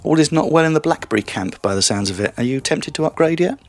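A man's voice: a little high, expressive, quick pace. A man presenting a tech show year in review, with breezy, teasing banter with his co-host.

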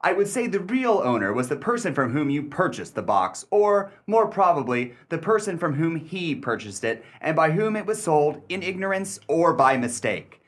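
An actor playing a sly, smug gentleman collector in a radio play, suave, wordy and mock-polite. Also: a little high, big pitch swings, normal speed, hardly any pauses. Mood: smug, needling.

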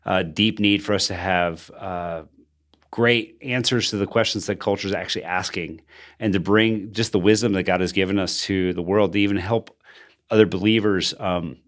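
An earnest, enthusiastic man from Colombia, a pastor, talking conversationally as a podcast co-host. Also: low-pitched. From Colombia, raised in Bogota by missionary parents.

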